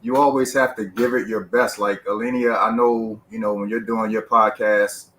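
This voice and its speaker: A man's voice: lowish voice, fairly even intonation, normal speed, fairly bright tone, slight background noise. A man hosting a podcast interview, giving emphatic advice and circling back to the same points. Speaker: podcast host. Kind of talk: conversational interview.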